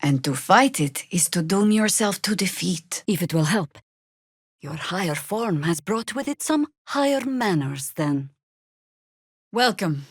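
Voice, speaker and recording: Weathered older druid fighter for a game, lowish voice, dry and sardonic, super close dry recording. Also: female voice, animated, unhurried, breathy edge, no echo. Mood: grave, sardonic. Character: world-weary, wry older female druid warrior, battle-hardened. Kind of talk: video game character voice acting.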